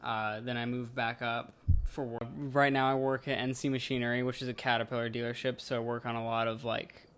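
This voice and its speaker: A man's voice: mid-range voice, level tone, a little fast, fairly bright tone, smooth. A 21-year-old man with an American accent, answering interview questions casually. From Washington state, United States. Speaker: interviewee, heavy equipment mechanic.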